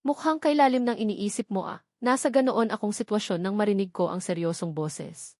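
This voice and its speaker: A Filipino woman reads a romance novel aloud in the first person. Her voice sits at an ordinary pitch for a woman. The sound is dry, with no room around it. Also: a little fast, a little mellow, no room sound. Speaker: audiobook narrator.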